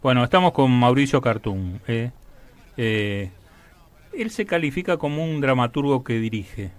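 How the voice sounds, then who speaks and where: A man's voice: mid-range voice, lively intonation, measured pace. A man with an Argentine accent hosting a radio interview in a casual, attentive, conversational way. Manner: attentive, frequent short acknowledgements.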